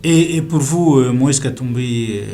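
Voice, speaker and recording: A male interviewer who asks political questions hesitantly, pausing on fillers. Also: medium pitch, dark tone.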